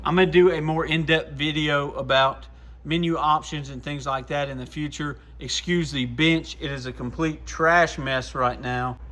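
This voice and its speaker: A man with a voice a little higher than average gives a casual hands-on product review. He talks informally, like a shop owner walking buddies through the gear on his bench. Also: steady tone, moderate pace, fairly bright tone. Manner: informal, addresses viewers as guys. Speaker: shop owner presenting radio product demos.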